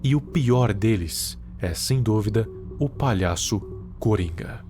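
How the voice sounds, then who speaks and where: A man's voice: fairly low pitch, expressive, slow, a little mellow, faint music behind. A man with a Brazilian accent giving scripted narration with casual, conversational asides. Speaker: video essay narrator.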